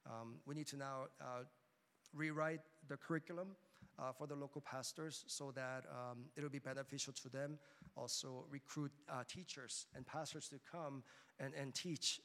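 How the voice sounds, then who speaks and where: A man presents a mission vision in a measured, hesitant way, often pausing on fillers. His voice is smooth and resonant at a middle pitch. It rings out with a long reverb in a large church hall. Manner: measured, frequent ums and uhs, hesitant.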